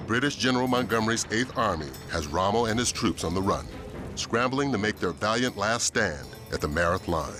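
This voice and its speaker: A man as a grave, authoritative war documentary narrator. His low voice delivers scripted history in a measured way, with music behind. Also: close to an everyday voice.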